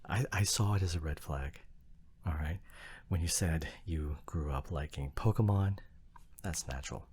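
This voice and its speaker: Male actor as snobbish young geek boyfriend for audio roleplay: low, husky, exasperated, halting but emphatic. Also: a little mellow. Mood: exasperated, flustered. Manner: halting, repeats words, sighing emphatic rant. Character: exasperated, flustered young anime fan boyfriend, opinionated and snobbish. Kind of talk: ASMR boyfriend roleplay monologue, argumentative.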